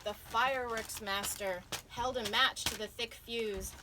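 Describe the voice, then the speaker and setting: A woman with a fairly high voice tells a picture-book story to children. She takes it slowly and dramatically, stretching key words. It is a live reading with clear background noise. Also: fairly even intonation. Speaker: author reading aloud to children. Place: bookstore reading event. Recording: noisy live reading with audience sounds.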